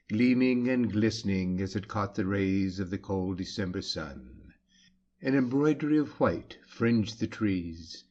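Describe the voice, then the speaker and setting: A man with a fairly low voice narrates a story very slowly. His delivery is measured and declamatory, like a dramatic audiobook, and the recording is slightly dull. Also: a little mellow.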